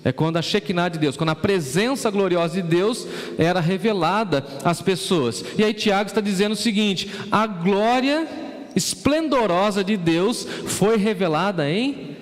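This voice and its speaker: A man's voice: sits high, moderate pace. A Brazilian man with a São Paulo countryside caipira accent, preaching a sermon in a conversational way and calling on congregation members by name. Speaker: pastor. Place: church sanctuary. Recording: church hall reverb.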